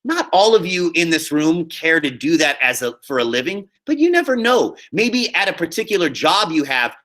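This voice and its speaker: A man's voice: a little high, very animated, moderate pace, a bit bright. A man teaching drama, giving informal, encouraging step-by-step instruction and often checking that the class follows. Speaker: high school drama teacher. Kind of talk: casual spoken instruction to a class over screen share.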